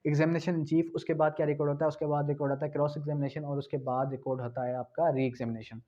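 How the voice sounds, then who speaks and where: Male law tutor, higher voice, drills points through questions; muffled low-fi recording. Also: level tone, quick pace, mellow. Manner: explains with rhetorical questions and repetition. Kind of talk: exam-prep law lecture. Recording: dull lo-fi recording.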